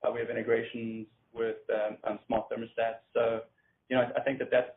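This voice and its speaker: A man answering unscripted as a company executive on an earnings call, in a halting, measured way. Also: mid-range voice, little pitch movement. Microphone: conference call line. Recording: narrow phone-like line, faint noise.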